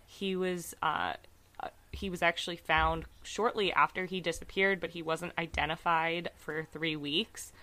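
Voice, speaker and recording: A woman co-hosting a casual true crime podcast, her voice a little raspy, chatty and earnest with lots of fillers. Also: lowish voice, steady tone, measured pace, sharp tone. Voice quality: slightly raspy from screaming. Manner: chatty, many fillers, earnest about cases. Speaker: podcast co-host.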